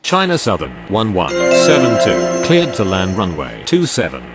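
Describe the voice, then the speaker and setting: A man's voice: fairly low pitch, wildly swooping pitch, normal speed, over loud music. A man playing a pilot and air traffic controller, giving formal, clipped radio callouts in standard aviation phraseology. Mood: businesslike.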